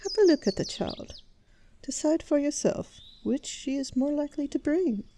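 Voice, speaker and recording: A woman playing a shrewd, persuasive elf queen, formal and quietly assured, in a fantasy audio roleplay. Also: high voice, expressive, moderate pace, slight background noise.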